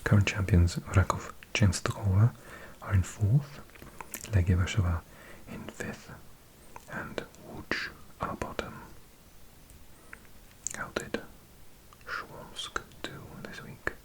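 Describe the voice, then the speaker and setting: Welsh male ASMR host, 40s, deep hushed whisper, slow chatty list-reading. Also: fairly even intonation, low-quality recording. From Wales. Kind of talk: whispered ASMR ramble reading football results.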